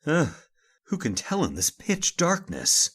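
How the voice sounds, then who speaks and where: A man plays a dry, commanding gentleman in an old-time radio horror play. His speech is animated in pitch while his temper stays wry and steady. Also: slow, husky, heavily acted. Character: dry, unflappable gentleman master sheltering from a storm.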